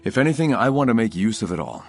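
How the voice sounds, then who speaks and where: A man's voice: lowish voice, sing-song, quick pace, creaky, soft background music, exaggerated voice. A man voicing a self-assured half-elf of noble upbringing in conversational fantasy game dialogue. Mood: confident.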